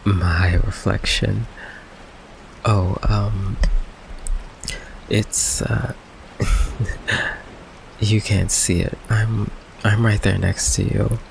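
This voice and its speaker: A man acts as a nervous goth vampire boyfriend in an intimate ASMR roleplay. His voice is very low and unhurried, full of stammers and evasions, yet affectionate. Rain runs clearly behind him. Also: level tone, slight background noise, heavily acted, rough recording. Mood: defensive, flustered. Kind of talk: ASMR roleplay, intimate one-sided conversation. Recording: rain ambience behind close voice.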